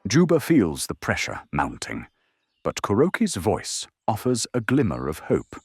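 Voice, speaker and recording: A man's voice: fairly low pitch, wildly swooping pitch, a little slow, breathy edge, dry recording, clean studio sound. A man reading scripted, dramatic narration as the narrator of an audio drama. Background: music.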